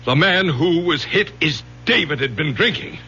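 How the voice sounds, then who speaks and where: A man's voice: a little high, lively intonation, normal speed, pushed and bright. A man acting a domineering, impatient middle-aged professor and father in an old-time radio drama, commanding and cutting. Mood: angry, menacing. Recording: dull old radio recording, faint hum.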